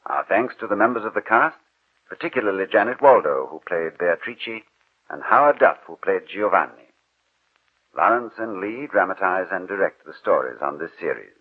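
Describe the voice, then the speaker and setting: A man hosting a 1940s radio drama series, announcing in a formal, measured, scripted style. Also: sits low, expressive, husky. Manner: formal, measured, theatrical phrasing. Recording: muffled, narrow-band 1940s radio recording.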